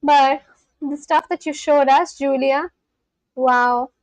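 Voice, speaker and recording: A woman's voice: sits high, fairly even intonation, slow, dry recording, low-quality recording. A woman from India with an Indian accent hosting a live stream, chatting casually with viewers, laughing often and talking straight to her chat. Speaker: livestream host.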